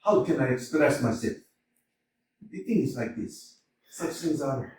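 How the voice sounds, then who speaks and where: An actor playing a hot-tempered middle-aged landowner in a staged comedy reading, swinging from mutters to loud outbursts. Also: male voice, mid-range voice, expressive, measured pace, natural voice. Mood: pleading. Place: community theater stage. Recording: echoey hall, mic from far off.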